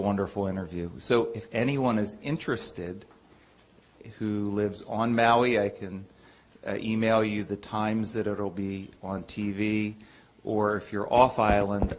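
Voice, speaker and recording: Deep-voiced man, upbeat and casual announcer, slow where it matters. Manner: warm, enthusiastic, spells things out slowly. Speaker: audience member making an announcement.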